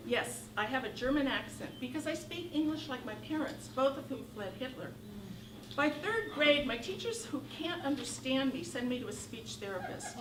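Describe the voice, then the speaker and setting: A woman in her sixties from Northern California telling a wry, animated comic monologue on a theater stage. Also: high-pitched. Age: 60s. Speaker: solo performer. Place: theater stage with live audience. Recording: echoey room from far off, noisy.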